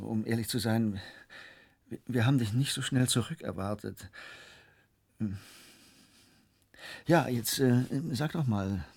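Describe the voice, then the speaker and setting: A man's voice: mid-range voice, vocal fry, husky. An actor playing an awkward, caring male computer researcher with a hesitant, stammering way of talking in a radio play. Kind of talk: naturalistic German radio play acting.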